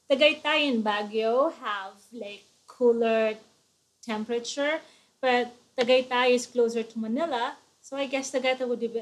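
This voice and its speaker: A woman co-hosting a live stream, welcoming viewers by name. Her voice sits slightly high. She talks in an easy, conversational way and often uses "like" as filler. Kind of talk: casual live chat greeting viewers.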